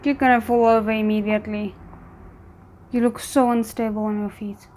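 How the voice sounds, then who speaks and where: A woman's voice: on the high side, flat delivery, normal speed, noisy background. A woman doing casual reaction commentary, thinking aloud in tentative asides as she watches. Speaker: reaction video host.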